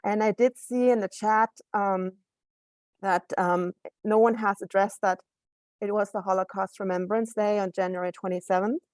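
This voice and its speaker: A woman with a German accent speaking earnestly at a meeting over a video call, often pausing to gather her words. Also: mid-range voice, fairly even intonation, a little mellow, dry recording, clean studio sound. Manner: earnest, with frequent hesitations. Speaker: council member. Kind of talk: meeting remarks.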